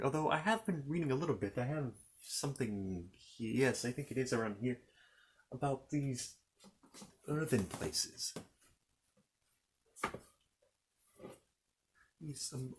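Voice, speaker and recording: A man's voice: medium pitch, lightly acted. A man playing a polite, bumbling, bookish fantasy knight in an ASMR roleplay, stammering and apologetic as he chats. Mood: curious, friendly. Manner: halting, stammering, apologetic, chatty. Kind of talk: improvised ASMR character roleplay.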